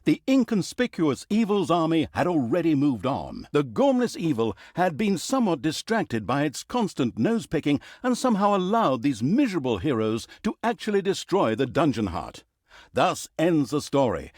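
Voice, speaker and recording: Fantasy game narrator: male, slightly high-pitched, mock-grandiose and irritable, hugely swooping intonation. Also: no room sound, clean studio sound. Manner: sardonic, mock-grandiose, breaking the fourth wall. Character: wry, theatrical fantasy game narrator, easily exasperated. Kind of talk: scripted comic fantasy storytelling.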